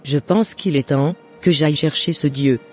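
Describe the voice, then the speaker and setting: Man voicing a high-pitched jealous neighbour woman. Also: fairly even intonation, normal speed, soft background music, close to an everyday voice. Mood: astonished, resigned. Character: jealous, scheming woman neighbour turned remorseful.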